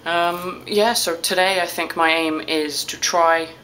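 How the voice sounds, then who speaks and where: A woman's voice: lowish voice, fairly even intonation, a little slow, fairly bright tone, slight background noise, poor audio. A young woman from England with a British accent, chatting casually to her camera from a small hotel room, tired and self-deprecating. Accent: British English. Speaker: vlogger. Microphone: camera at arm's length.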